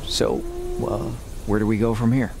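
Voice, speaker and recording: An actor playing a laconic, stoic, weary cowboy gunslinger in a Western video game. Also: male voice, lowish voice, fairly even intonation, moderate pace, noisy background, lightly acted. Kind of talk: video game cutscene acting.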